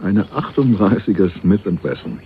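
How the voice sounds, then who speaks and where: A shrewd, watchful character in a crime radio play. He speaks low, with very animated intonation and a wry, probing edge. The sound is narrow and band-limited. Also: moderate pace, a little hiss or hum. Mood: casual, calculating. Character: shrewd, watchful male companion in a crime story. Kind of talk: radio crime drama acting.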